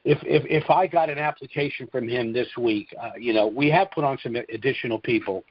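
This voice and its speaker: A man's voice: mid-range voice, a little fast, husky, no room sound. A man hosting a call-in conference call, giving informal advice and repeating his phrases for emphasis, over a telephone line. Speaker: call host and trust seller advising callers. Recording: dull lo-fi conference phone line.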